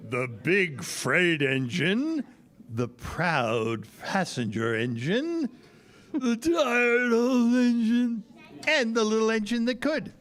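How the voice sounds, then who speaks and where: Male live presenter for a kids' show, high voice, very slow animated delivery. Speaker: children's theater presenter. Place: live library event before an audience.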